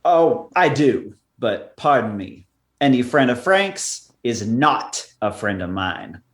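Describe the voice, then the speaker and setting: A man's voice: medium pitch, very animated, slow. A man playing a menacing, sardonic racetrack businessman in a comic radio play, curt and tough. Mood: dismissive.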